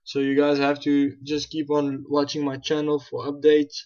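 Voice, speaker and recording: A man's voice: fairly high pitch, monotone, normal speed, darkish tone, dull audio. A young man with a South African accent, talking casually through his football management game as a gaming channel host and often correcting himself as he goes. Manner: thinking aloud, restarts and self-corrections. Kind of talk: casual gameplay commentary.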